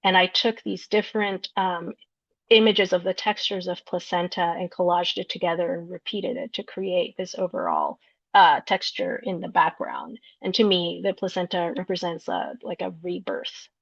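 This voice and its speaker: Woman artist from Peru presenting her work, gravelly fry, muffled dry lo-fi sound. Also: on the low side, expressive, dry recording. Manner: explanatory, unhurried, searching for words. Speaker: visual artist. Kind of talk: artist talk walking through slides of her work. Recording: dull lo-fi recording, dry.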